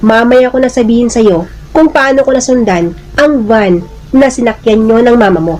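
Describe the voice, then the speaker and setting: A woman's voice: on the high side, normal speed, darkish tone, lightly acted, poor audio. Lady narrator doing a protective stepdad in a story drama, urgent and a bit chiding, music behind. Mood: surprised, chiding. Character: protective, exasperated stepfather on a motorcycle.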